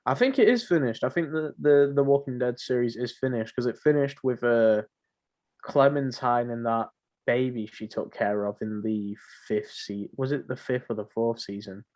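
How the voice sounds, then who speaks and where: A man's voice: medium pitch, normal speed, darkish tone. A man co-hosting a podcast in casual, conversational chat about games. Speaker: podcast co-host.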